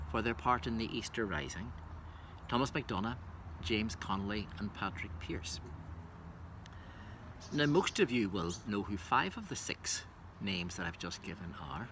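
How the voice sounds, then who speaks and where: A man speaking as a poetry reader, introducing and reciting verse in a measured way. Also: lowish voice, pushed and bright, slight background noise.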